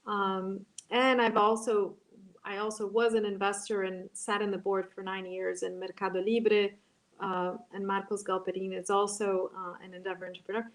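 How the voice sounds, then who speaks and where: A woman, moderating an online panel and talking in a halting way, full of ums and restarts. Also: mid-range voice, level tone, low-quality recording. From Brazil.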